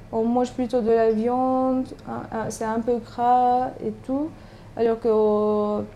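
A woman from Turkey, a native Turkish speaker, talking in a casual interview. Her voice sits a little high and sounds mellow and dark. She hesitates a lot and restarts her sentences, with faint noise in the background. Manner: hesitant, many fillers and restarts. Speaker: interviewee, doctoral student.

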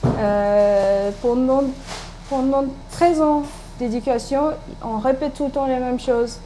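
A woman's voice: high-pitched, takes it slowly, dark tone, low-quality recording. A woman in her thirties from Turkey, a native Turkish speaker, answering interview questions in a casual, hesitant way with many fillers and restarts. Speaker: interviewee, doctoral student. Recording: background noise.

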